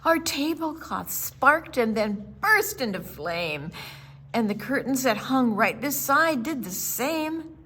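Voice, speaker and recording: Unhurried, higher-voiced woman reading a storybook. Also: very animated, pushed and bright, breathy edge. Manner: theatrical, with dramatic pauses, gasps and emphasis. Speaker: children's librarian reading a picture book aloud. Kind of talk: expressive rhyming storytime read-aloud.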